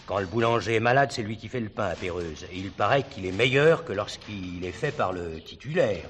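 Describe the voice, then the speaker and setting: An actor playing an authoritative, composed gendarmerie captain in a television drama, with a clipped, formal, official manner. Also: male voice, fairly low pitch, lively intonation, brisk, faint music behind, natural voice. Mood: matter-of-fact. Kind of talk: 1970s French television drama acting. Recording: noisy old drama recording.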